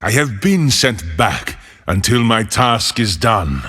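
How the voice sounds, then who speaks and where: An actor playing a fiery exiled male desert wanderer for a game, with a gravelly, husky voice and a slow, solemn delivery with dry wit. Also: on the low side, very animated, bright, forceful tone, big-room sound, full character voice. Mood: defiant. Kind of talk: game voice lines.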